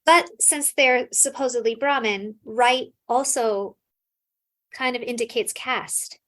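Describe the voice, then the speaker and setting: A woman's voice: fairly high pitch, slow, a bit bright. Thoughtful American woman scholar explaining. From United States. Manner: thoughtful, frequent fillers and restarts. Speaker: scholar guest. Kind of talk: casual interview answers.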